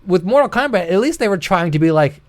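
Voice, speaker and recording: A man's voice: sits high, expressive, fast talker, no echo. A man co-hosting a gaming show in casual chat, joking and swearing in an informal way. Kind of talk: casual chat, reacting to old film trailers.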